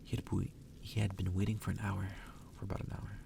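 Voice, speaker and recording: A man softly reading a story aloud in ASMR style, sometimes dropping toward a whisper and stumbling over the text. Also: sits low, little pitch movement, fairly quick, creaky, recorded from a distance, background noise. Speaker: ASMR reader.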